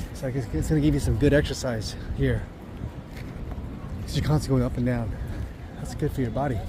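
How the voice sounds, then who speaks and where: A man vlogs as he strolls busy city streets. He muses aloud in a loose, rambling way, with plenty of fillers, in an unremarkable mid-range voice. The handheld camera mic picks up clear traffic and street noise behind him. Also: mid-range voice. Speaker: travel vlogger. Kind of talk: casual walking-tour commentary. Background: passing traffic.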